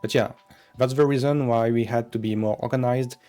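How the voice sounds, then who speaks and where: A man hosting a casual developer stream, talking in a relaxed way with frequent fillers. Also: medium pitch, fairly quick. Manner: relaxed, filler-heavy, occasional laughs. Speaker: game developer and stream host. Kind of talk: casual dev stream chat.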